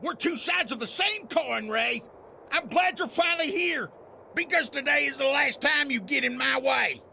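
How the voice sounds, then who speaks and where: A man's voice: very high-pitched, moderate pace, vocal fry, bright, forceful tone, a little hiss or hum, exaggerated voice. A man playing a bitter, vengeful Western outlaw in a video game, snarling taunts and threats. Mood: gloating, hateful. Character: bitter, vengeful Wild West outlaw villain. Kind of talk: dramatic video game acting. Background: music.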